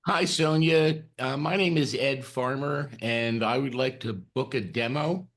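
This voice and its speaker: A man's voice: medium pitch, unhurried, fairly bright tone. A man speaking as a caller in a plain phone conversation, polite and brief in his replies. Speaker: caller testing a booking line.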